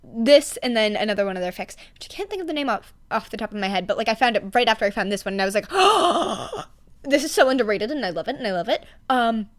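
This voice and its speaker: A young adult woman chatting casually and excitedly to listeners as a podfic reader, rambling in slangy, emphatic speech with some swearing. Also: on the high side, fast.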